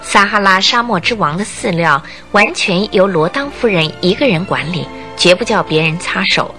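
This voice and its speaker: Woman narrator for a radio mystery, super expressive and suspenseful, music playing behind her. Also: medium pitch, normal speed. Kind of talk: dramatic scripted radio narration. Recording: music bed under the narration.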